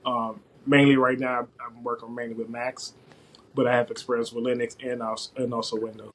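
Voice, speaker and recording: A young man with a medium-pitched voice talks casually in an interview. He is informal and wanders a little, often restarting a thought as he explains himself. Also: little pitch movement, brisk, slight background noise. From West Africa (Liberia), later the US from age eleven. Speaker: podcast guest, IT systems administrator.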